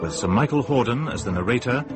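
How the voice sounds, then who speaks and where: A man's voice: medium pitch, lively intonation, fast talker. A man making a formal scripted announcement for a radio drama. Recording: loud theme music behind.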